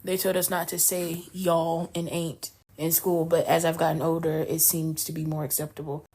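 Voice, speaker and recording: A woman's voice: sits low, flat delivery, moderate pace, dark tone. A woman from North Carolina co-hosting a casual podcast, reading news items aloud and then riffing on them in chatty conversation. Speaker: podcast co-host.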